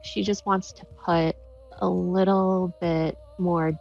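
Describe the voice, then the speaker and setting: A woman's voice: on the low side, little pitch movement, slow pace, gravelly, darkish tone. A woman casually narrating her character's moves as a player in a tabletop roleplaying game, on a slightly dull recording. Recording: dull lo-fi sound, faint music.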